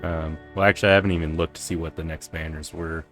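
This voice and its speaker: A man's voice: extremely low pitch, fairly even intonation, quick pace, a bit bright. A man doing casual game commentary as a streamer, chatting in an informal, rambling way. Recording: faint game music behind.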